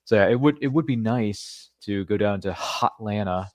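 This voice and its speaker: Deep-voiced chatty male podcast host. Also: normal speed, darkish tone, no room sound, clean studio sound. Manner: chatty and jokey, with playful asides. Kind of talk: casual interview chat.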